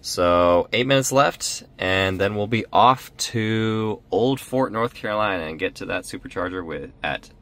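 A man's voice: on the low side, measured pace, hardly any pauses, fairly bright tone. A man casually chatting to viewers in a road trip vlog, upbeat and talkative, speaking from inside his car. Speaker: vlogger. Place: inside a parked or moving car.

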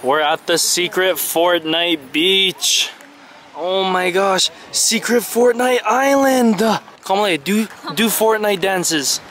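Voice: medium pitch, slow pace, fairly bright tone. A young adult vlogger chatting casually with friends outdoors, with slangy, teasing banter. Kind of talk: casual vlog chat with friends. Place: outdoors at beaches and yards. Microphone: handheld camera mic. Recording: noisy outdoor camcorder, crowd chatter behind.

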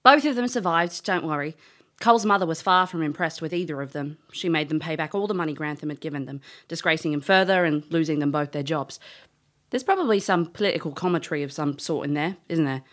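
A woman's voice: sits low, fast talker, close to an everyday voice. An actress playing a brash, cheeky, hot-headed elf adventurer who tells her tale in an audio drama, blunt and cocky. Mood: narrating, wry. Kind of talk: audio drama acting and first-person storytelling.

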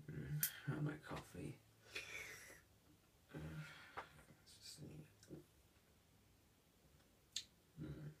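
A man's voice: fairly low pitch, distant mic, noisy background. A man voicing a haughty, sick young heir in an ASMR roleplay, formal and hesitant with fillers, stuffy with a cold and sneezing.

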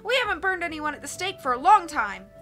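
Woman acting a shrill, bloodthirsty townsman. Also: big pitch swings, a little fast, soft background music, heavily acted. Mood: eager. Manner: loudly accusing. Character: bloodthirsty, triumphant townsman eager to punish.

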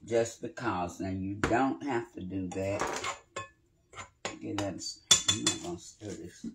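An older woman, chatting in an unhurried way as she cooks in her home kitchen and explains each step. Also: a bass voice, gravelly, heavy background noise. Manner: unhurried, chatty, talks while working with pauses and fillers. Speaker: home cooking channel host. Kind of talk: casual cooking demonstration. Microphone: phone camera a few feet away on the counter.

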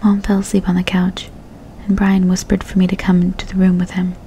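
A woman narrates a dark story entirely in a soft, unvoiced whisper. Her delivery is flat and even, with a mellow, muted tone and a faint hiss of ambience behind her. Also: on the low side, fairly quick.

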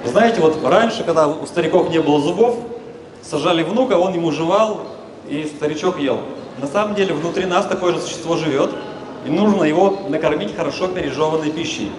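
A man with a slightly high voice lectures informally on health from a stage. He explains in colloquial language and addresses his listeners directly. The sound is echoey, with clear noise from the large exhibition hall around him. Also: brisk. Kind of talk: informal public lecture to an audience. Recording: echoey, noisy expo hall through a PA.

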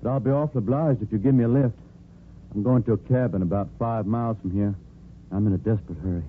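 An actor playing a polite doctor in a hurry, courteous and brisk, in a 1950s radio soap. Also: male voice, fairly low pitch, close to an everyday voice. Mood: urgent, polite. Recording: tinny, faintly noisy vintage radio recording.

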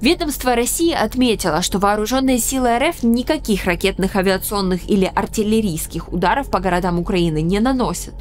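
A young woman from Ukraine, speaking straight to camera with a studio mic in a small room, narrating her own story in an earnest, measured, solemn way. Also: mid-range voice, lively intonation. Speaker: channel host. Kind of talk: scripted personal narration. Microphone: studio microphone in frame.

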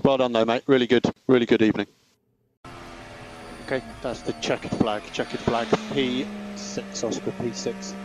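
A man's voice: mid-range voice, fairly even intonation, normal speed, a little mellow. A man speaking as a race engineer over team radio, giving procedural instructions and feedback. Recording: noisy car team radio. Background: car noise.

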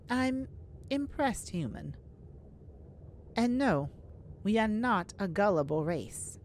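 Mermaid leader and enchantress, played by a woman: regal, merciful, unhurried mid-pitched delivery, fantasy roleplay audio. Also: a little hiss or hum. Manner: slow, formal, regal, deliberate.

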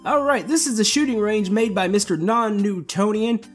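A man with a fairly high voice talks through a building game in a loose, easygoing way, trailing off and restarting his sentences. Soft music plays quietly underneath him. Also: moderate pace. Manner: relaxed, rambling, many fillers and restarts. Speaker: gameplay video host. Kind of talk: casual walkthrough commentary. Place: voice-over while playing a building game.

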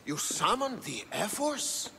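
A man's voice: high voice, sing-song, slow pace, vocal fry, sharp tone, in a large hall, a little hiss or hum, exaggerated voice. An actor playing a curt, imperious Spartan king in a video game. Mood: impatient. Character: impatient, gruff male Spartan king. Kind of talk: video game cutscene acting.

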